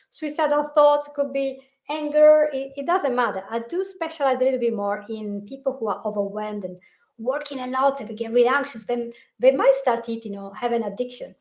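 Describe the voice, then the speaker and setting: High-voiced woman. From Italy. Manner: chatty, repeats words when restarting. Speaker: host, hypnotherapist. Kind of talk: casual live-stream chat.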